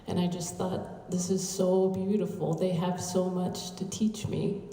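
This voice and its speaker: A woman with an American accent, in her late thirties, tells a story unhurriedly, with soft restarts. Her voice sits low and mellow. It carries from a distance through a reverberant hall, with faint background noise. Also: level tone, measured pace. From United States. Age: late 30s. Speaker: invited conference speaker. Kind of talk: formal speech at a conference. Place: large conference hall.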